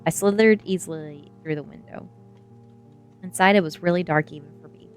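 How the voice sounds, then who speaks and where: A woman's voice: on the low side, a little fast. A woman streamer reading a novel aloud in ASMR storytelling style, expressively, drawing out key words for emphasis. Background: music.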